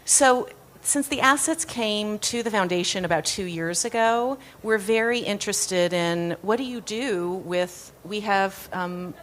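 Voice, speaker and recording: A woman's voice: mid-range voice, unhurried, fairly bright tone, a little hiss or hum. A woman answering an audience question on a conference panel, thoughtful and fluent with occasional restarts. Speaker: foundation executive director. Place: conference stage.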